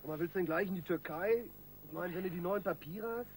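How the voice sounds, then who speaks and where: An actor playing a bossy yet jittery small-time crook in a radio crime drama, talking in colloquial slang. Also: male voice, high-pitched, quick pace, slight background noise, close to an everyday voice. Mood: reassuring.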